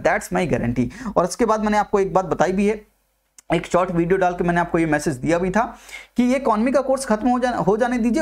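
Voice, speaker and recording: Man teaching, repeating points and quizzing. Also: high-pitched. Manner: repeats points and asks listeners questions; mixes English terms into talk. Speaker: economics teacher. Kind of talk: exam-prep video lecture.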